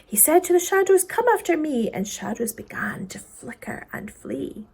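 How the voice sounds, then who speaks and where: A woman reading a children's poem expressively, with a theatrical manner that dips into hushed tones. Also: on the high side, very animated, moderate pace, creaky, husky. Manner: theatrical, dropping into hushed whispers. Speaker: story reader.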